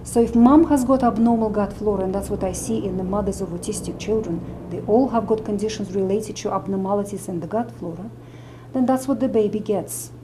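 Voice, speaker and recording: Middle-aged Russian-accented woman, physician explaining to camera; mellow tone, faint background hiss. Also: mid-range voice, fairly quick, rough recording. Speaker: medical doctor and nutritionist.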